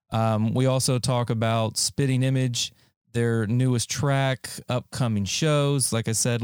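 A man's voice: medium pitch, a little mellow, clean tone, no room sound, clean studio sound. A young man from North Carolina hosting a podcast in casual chat, loose and rambling, swearing and laughing easily. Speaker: podcast host.

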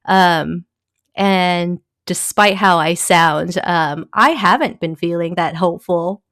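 A woman from Taiwan, a guest in a casual conversation, talking thoughtfully with many pauses, fillers and small laughs. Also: lowish voice, a bit bright, no room sound, clean studio sound.

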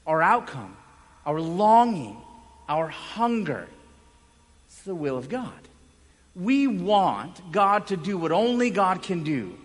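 A male pastor delivers a sermon in a notably high-pitched voice, with long reverb from a large hall. His delivery is emphatic, moving between raised volume and hushed phrases, and he leaves dramatic pauses for weight. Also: sing-song. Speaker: pastor preaching. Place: large indoor room, preaching to a congregation.

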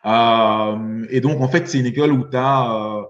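A man in his forties from Belgium, a podcast guest talking in a halting way, with many fillers and repeated words. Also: mid-range voice, echoey room, dull audio. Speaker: guest, investor. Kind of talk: podcast interview.

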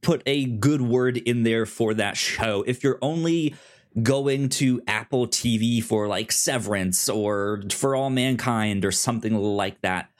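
A man co-hosting a casual review podcast, talking haltingly and often repeating the starts of his words. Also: mid-range voice. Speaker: podcast co-host.

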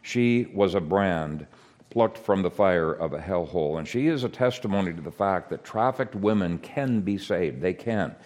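A man who grew up in Ethiopia, giving an earnest, measured sermon with frequent hesitations. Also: sits low, expressive, darkish tone. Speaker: preacher.

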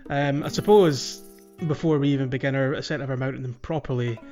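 A man's voice: medium pitch, steady tone, a little fast, warm tone, soft background music. A man co-hosting a podcast, talking through a video game casually and a little hesitantly. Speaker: podcast co-host.

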